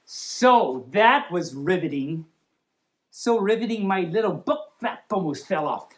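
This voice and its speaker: A woman reading a story aloud as a storytime host, playful and silly in an expressive way. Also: on the low side, a little slow.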